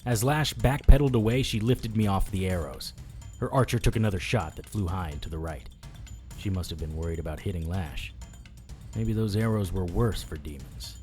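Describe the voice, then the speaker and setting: An actor playing a battered, wry private investigator, narrating in the first person in an audio drama. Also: male voice, sits low, normal speed, a little mellow, soft background music, close to an everyday voice. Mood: tense, dry. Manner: dry, hard-boiled.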